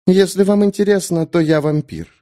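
A man voicing a calm, condescending, centuries-old female vampire in an audiobook. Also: high voice, moderate pace, voice pushed up, lightly acted. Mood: lazily disdainful. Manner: calm, soft, lazily superior.

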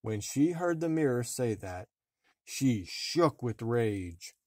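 A man reading a fairy tale aloud as an expressive storybook narrator. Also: mid-range voice, slow pace, no echo, studio quality. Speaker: storybook reader.

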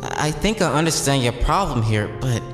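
An actor playing a bold, idealistic young vigilante in an audio drama, casual, teasing and earnest. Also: male voice, mid-range voice, expressive, brisk, close to an everyday voice. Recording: echoey reverberant hall, music underneath.

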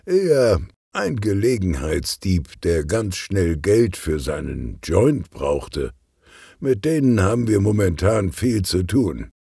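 A man voicing a calm, good-natured, portly police detective who talks in an unhurried, murmuring way for a children's audiobook. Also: sits low, gravelly, slightly rough, no echo, clean studio sound, pitched above the performer's own voice, exaggerated voice. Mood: calm, reassuring.

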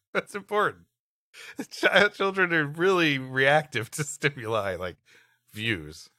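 A man's voice: a little high, lively intonation, takes it slowly, sharp tone, no room sound, clean studio sound. A man co-hosting a comedy podcast, reading an old adventure story aloud expressively and dropping in playful asides. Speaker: podcast co-host.

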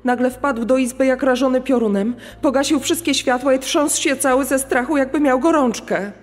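A woman's voice: high voice, normal speed. An actress playing a policeman's village wife giving witness testimony in a true-crime dramatisation. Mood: uneasy recollection. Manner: narrating as a witness. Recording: long reverb over music.

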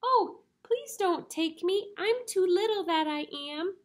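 Tiny, high-pitched young billy goat. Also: female voice, lively intonation, slow pace, heavily acted. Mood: pleading. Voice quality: very small voice.

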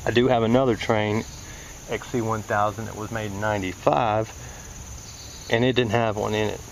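A man's voice: fairly low pitch, fairly even intonation, normal speed, gravelly. A man explaining parts hands-on as a technician, in a casual, folksy way, thinking aloud as he goes. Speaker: HVAC technician demonstrating parts. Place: outdoors in a yard by a scrap pile. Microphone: handheld camera held by the speaker. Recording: noisy outdoor recording with insects.

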